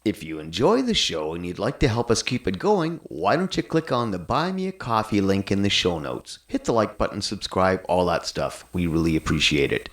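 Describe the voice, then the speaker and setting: A man's voice: deep voice, big pitch swings, normal speed, few pauses. A man speaking as a podcast host, delivering a scripted show outro.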